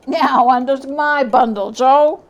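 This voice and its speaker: Brazen scavenger woman, as a woman narrator puts her on, high voice, brash, scornful, colloquial, loud storybook villainy. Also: takes it slowly, muffled recording. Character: brazen, callous scavenging woman selling a dead man's things.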